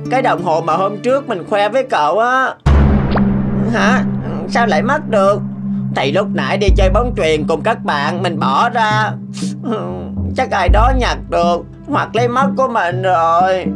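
A woman's voice: medium pitch, expressive, a little slow, close to an everyday voice. A woman voicing an anxious schoolboy in a cartoon, earnest and childlike. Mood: worried. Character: anxious schoolboys in a moral tale. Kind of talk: cartoon dubbing. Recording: loud guitar music behind.

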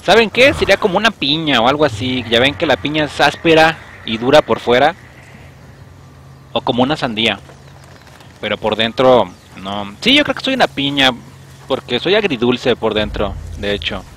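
A man's voice: mid-range voice, normal speed, slight background noise. A young man with a Mexican accent giving joking, casual commentary while streaming a game and talking to his chat. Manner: joking, animated, talks to chat. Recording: music playing behind voice.

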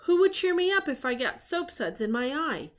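A friendly young puppet boy from a storybook, read by a woman. He muses earnestly in a gee-whiz way and worries easily, with lively pitch. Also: normal speed. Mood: fond, earnest.

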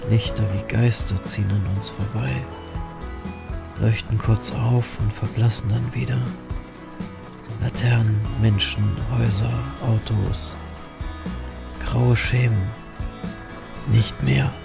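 Man playing gravelly, brooding noir reaper. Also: lowish voice, flat delivery, takes it slowly, pauses often, over loud music. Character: weary, wry male ferryman reaper in noir city. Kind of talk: scripted first-person noir narration, German audio drama.

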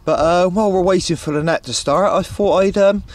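A man with a voice a little higher than average talks casually to a handheld camera outdoors. He is matter-of-fact and hesitant, stopping often mid-thought as he explains things. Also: fairly quick, a little hiss or hum. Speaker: radio hobbyist filming himself. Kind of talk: casual outdoor vlog narration. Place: outdoors on an open hilltop. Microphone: handheld camcorder, operator behind camera.

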